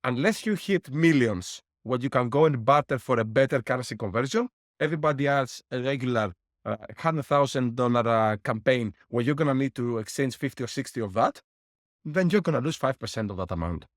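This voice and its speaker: A man's voice: mid-range voice, lively intonation, brisk, no room sound. Guest, middle-aged man, dry close mic, breaks down costs step by step. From Greece. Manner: explains with worked numbers and lessons, laughs wryly. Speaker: guest, board game publisher. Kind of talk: interview answers.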